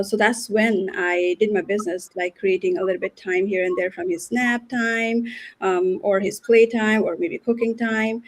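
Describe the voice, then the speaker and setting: A woman's voice: medium pitch, rough recording. A woman in her late thirties from Nepal, a guest on a live video call, talking earnestly and repeating words as she thinks. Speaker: guest, nurse and business owner. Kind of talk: live online interview.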